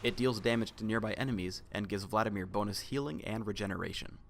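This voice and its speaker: Lowish male game explainer voice. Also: quick pace, vocal fry. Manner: instructional, matter-of-fact. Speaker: game spotlight narrator. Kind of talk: scripted explainer narration.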